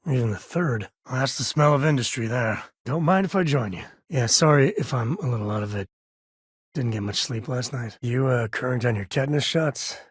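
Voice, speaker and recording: A laid back ex ranger in a space game, really husky rough voice, wry n folksy, super dry close recording. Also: male voice, mid-range voice, expressive, normal speed, dry recording. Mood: wry, casual. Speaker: video game companion character. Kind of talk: video game voice acting, casual dialogue.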